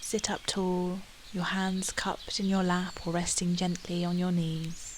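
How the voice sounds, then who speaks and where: A woman guides a meditation with a calm, soothing delivery. Her voice sits a little low and she speaks very slowly, leaving long pauses between phrases. Clear birdsong and outdoor nature ambience run behind her. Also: slight background noise.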